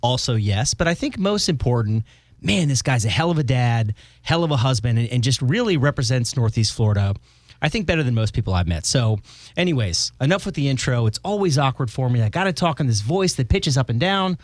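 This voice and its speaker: A male podcast host talks quickly and eagerly, cracking jokes as he steers the chat. His voice is mid-pitched and recorded close and dry. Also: lively intonation, no echo. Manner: enthusiastic and jokey. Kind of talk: casual interview chat.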